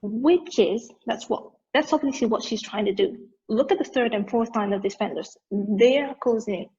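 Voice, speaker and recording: A woman reading the part of an excited amateur football commentator in a play table read, calling the action play by play. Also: a little high, normal speed, slightly rough, dull audio. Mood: focused.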